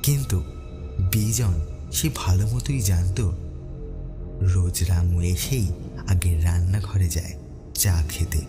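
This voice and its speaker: A man narrating a horror story dramatically, with suspenseful pauses. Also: deep voice, music in the background.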